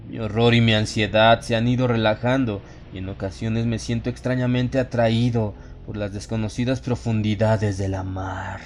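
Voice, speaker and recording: A man's voice: fairly low pitch, darkish tone, lightly acted. A man playing a haunted narrator slipping into exalted, fanatical madness in a solemn, theatrical horror audiobook. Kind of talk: dramatic first-person horror audiobook narration.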